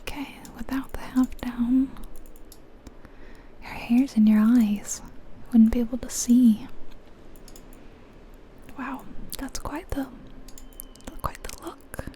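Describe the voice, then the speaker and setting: A woman whispering breathily in ASMR style, giving gentle, chatty commentary on a game as she goes. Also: fairly high pitch, fairly even intonation, slow, pauses often, a little hiss or hum. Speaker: ASMR video host.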